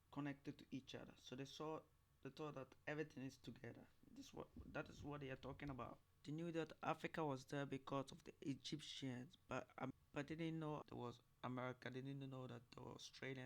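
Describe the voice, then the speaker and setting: A man giving informal, skeptical commentary in a halting, repetitive, questioning manner. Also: mid-range voice.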